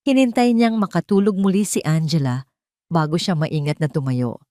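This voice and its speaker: A woman's voice: lowish voice, normal speed, dark tone, no room sound, clean studio sound. A woman with a Filipino accent reading a scripted romance drama as a storyteller, with dramatic delivery. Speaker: audio story narrator.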